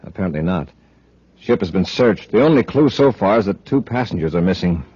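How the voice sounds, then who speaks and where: A heroic space commander, low and husky, firing off decisive orders very quickly. The sound is that of a vintage radio serial. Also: male voice, lively intonation, dark tone, no room sound. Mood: thoughtful. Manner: clipped, decisive, authoritative. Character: brisk, authoritative space patrol commander, heroic. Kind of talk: 1950s radio adventure serial acting. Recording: dull old radio recording.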